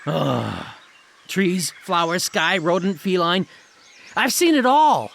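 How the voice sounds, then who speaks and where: An actress playing a cocky, easily bored alien tourist reporter in a comedic audio drama, flippant, impatient and theatrical. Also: female voice, lowish voice, sing-song, slow, vocal fry, full character voice. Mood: whiny.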